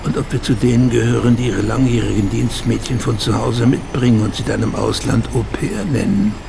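A man's voice: medium pitch, fairly even intonation, a little fast, gravelly, noisy background. An actor playing a sly, mocking inner voice that needles a woman with sardonic, insinuating commentary in a radio drama.

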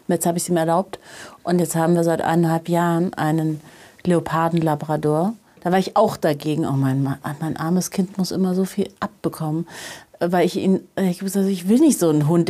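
A middle-aged woman being interviewed, telling personal anecdotes in a casual, animated and emphatic way. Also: fairly low pitch. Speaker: interview guest, journalist.

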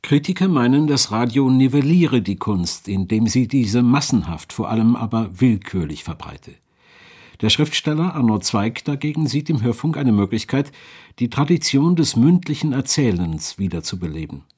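A man's voice: medium pitch, lively intonation, normal speed, a little mellow, slightly rough. A man narrating a documentary from a script.

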